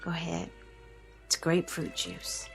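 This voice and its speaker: An actress playing a weary but steady young woman, a painter, in a 1960s television drama. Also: fairly low pitch, unhurried, vocal fry, exaggerated voice. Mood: subdued, grim. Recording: vintage TV soundtrack with music behind.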